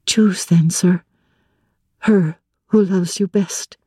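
A woman voicing a devoted, earnest young woman in love, softly and tenderly, in a dramatic reading of classic literature. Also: on the low side, steady tone, slow, dry recording, clean studio sound, pitched above the performer's own voice. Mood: shy, teasing. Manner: soft, breathy, tender and respectful.

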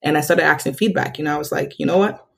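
Husky-voiced woman telling lively stories. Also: quick pace. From Nigeria. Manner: animated, acts out quoted dialogue with big pitch jumps. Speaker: podcast guest. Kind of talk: casual interview storytelling.

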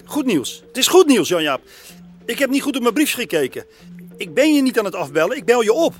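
High-voiced, bumbling radio producer man. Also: very animated, a little fast, soft background music. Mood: flustered. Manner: hesitant, muddled, earnest. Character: bumbling, awkward, flustered male radio producer. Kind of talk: radio comedy acting.

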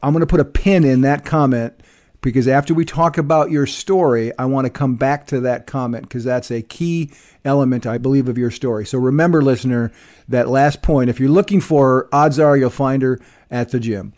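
Man hosting a podcast. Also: mid-range voice. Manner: warm, deliberate, reads bio aloud. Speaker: podcast host. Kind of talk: podcast introduction.

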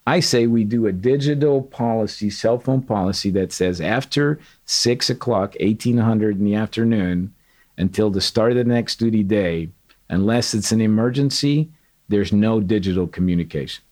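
A middle-aged man from Portugal, a retired sergeant major, telling candid stories in an interview with an earnest, animated manner. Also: on the low side, normal speed. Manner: earnest, animated, quotes others in dialogue. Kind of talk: candid storytelling.

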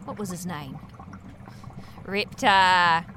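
A woman's voice: fairly low pitch, very slow, noisy background. A woman playing a brash, goofy young coworker in a comedic audio drama, sardonic and playful. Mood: wry, curious.

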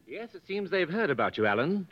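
An actor playing a persuasive, concerned colonial government official in a 1950s radio drama, genial and coaxing. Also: male voice, fairly high pitch, very animated, brisk, faint music behind. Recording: muffled old radio broadcast.

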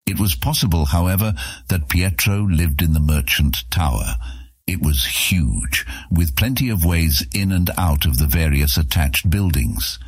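Male narrator, extremely low pitch, husky, dry close recording, scripted fantasy audiobook storytelling. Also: animated, a little slow, dry recording. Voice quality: very deep, husky.

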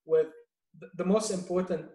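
A middle-aged man from Lebanon, a business guest answering interview questions in a measured way, pausing often with fillers. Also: sits high, steady tone, mellow, reverberant. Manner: measured, with many fillers and restarts. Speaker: guest, venture investor.

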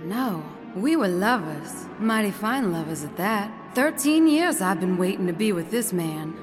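An actress playing a brazen, hot-tempered country wife in an audio drama, blunt, folksy and theatrical. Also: female voice, medium pitch, big pitch swings, a little slow. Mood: menacing, proud. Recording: long hall reverb, music behind.

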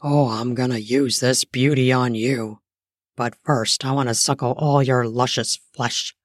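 A woman voicing a confident, eager adult male lover in an erotic audio story, murmuring low and slow. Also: fairly even intonation, dry recording, clean studio sound. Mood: lustful.

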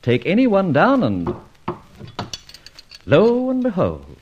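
An actor playing a suave, debonair gentleman adventurer in an old radio serial, urbane, wordy and teasing in a mock-formal way. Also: sits high, wildly swooping pitch, takes it slowly, highs cut off, slight background noise, heavily acted.

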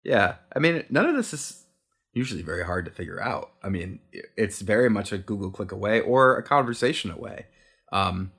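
A man's voice: on the low side, fairly quick, breathy edge. A man, a guest on a podcast, chatting casually and encouragingly about creative work. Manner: relaxed, encouraging, with fillers and restarts. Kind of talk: casual advice chat.

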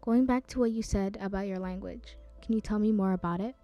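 A young woman host reading prepared interview questions and comments in an even way. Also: medium pitch, fairly quick, lo-fi sound, faint music behind. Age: young adult. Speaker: youth interviewer. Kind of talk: scripted interview questions and narration.

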